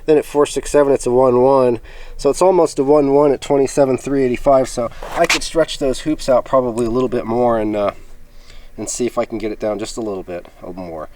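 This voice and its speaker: A man's voice: medium pitch, steady tone, a little fast, darkish tone, slight background noise. Folksy man giving a hands-on demo. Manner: folksy, rambling, thinking aloud. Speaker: host of a radio gear review channel. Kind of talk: casual hands-on product demonstration.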